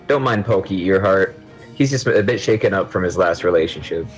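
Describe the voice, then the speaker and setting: A young man playing a blunt, teasing but supportive gamer friend with a husky voice in an amateur audio drama. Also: sits low, lively intonation, a little fast, lo-fi sound, soft background music.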